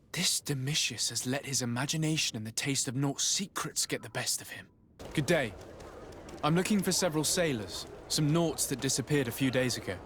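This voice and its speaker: A man's voice: medium pitch, normal speed, fairly bright tone, slight background noise, close to an everyday voice. A man voicing a composed, diplomatic emissary in a video game, polite, formal and probing. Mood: polite, inquiring.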